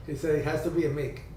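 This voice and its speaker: A man, hosting a casual ham radio video chat and telling stories in a chatty way, with halting restarts. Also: a little high, a little mellow. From Cuba. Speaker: ham radio livestream host. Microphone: video call. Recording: distant roomy video-call audio, faint hiss.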